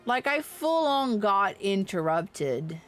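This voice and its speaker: A woman's voice: medium pitch, big pitch swings, takes it slowly, soft background music. A woman livestreaming a game, giving casual commentary with sweary, exasperated venting. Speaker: livestreamer playing an online game. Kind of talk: casual gaming stream commentary.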